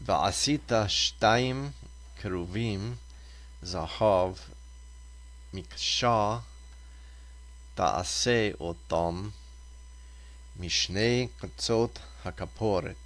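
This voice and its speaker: A man reading Torah scripture aloud as a reader, deliberately, in short separated phrases. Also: on the low side, fairly bright tone.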